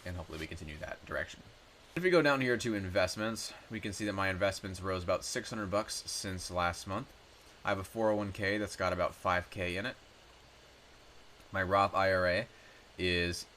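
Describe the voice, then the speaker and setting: A man in his twenties, raspy from a cold, casually talking through his finances with frequent ums and self-corrections. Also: deep voice, sharp tone, slight background noise. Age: 20s. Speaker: personal finance YouTuber. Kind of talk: casual screen-share walkthrough.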